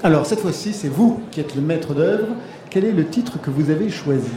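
A man's voice: a little high, animated, moderate pace, roomy sound, crowd noise. A man hosting a radio music interview show. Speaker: radio host.

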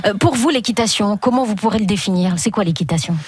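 Woman radio host asking crisp questions. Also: medium pitch, fast, a little mellow, no echo. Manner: leads with short direct questions. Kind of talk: radio interview. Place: radio studio.

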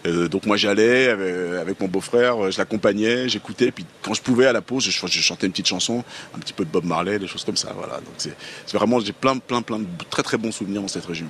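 A low-voiced man speaks thoughtfully as a musician guest, pausing and restarting often. There is a faint hiss of background noise behind him. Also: fairly bright tone. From France, near Paris. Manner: reflective, hesitant with many fillers. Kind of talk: casual interview answers.

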